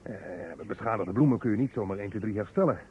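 An actor playing an eager, informal amateur sleuth in an old radio detective drama. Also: male voice, fairly low pitch, quick pace, breathy edge. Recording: muffled, narrow-band vintage radio recording.

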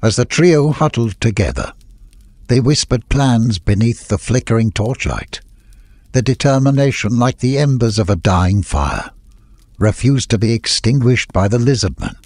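A man reading a scripted story as an audiobook narrator, with a husky voice. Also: mid-range voice, animated, normal speed, darkish tone, dry recording.

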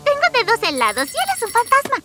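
A woman's voice: very high voice, huge pitch swings, fast, exaggerated voice. A woman voicing an enthusiastic, dreamy girl who is fascinated by ghosts, in a cartoon dub. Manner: breathless, enthusiastic. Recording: music bed under the dialogue.

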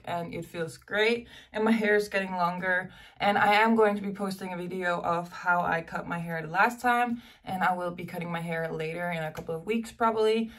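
A woman's voice: on the low side, a bit bright, distant mic. A young woman who is losing her voice to a cold, chatting casually to camera about makeup, saying "you guys" often. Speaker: beauty vlogger. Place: bedroom with clothes rack behind.

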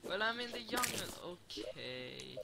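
A man's voice: high-pitched, sing-song, unhurried, creaky, very noisy. A man with a Swedish accent chatting casually while playing a video game together with friends. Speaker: game player. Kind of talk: casual gaming chat.

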